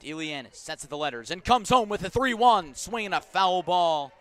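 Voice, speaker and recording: A man calling a baseball game live on radio as play-by-play announcer, measured between pitches and emphatic when the action comes. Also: lively intonation. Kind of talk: live sports radio commentary.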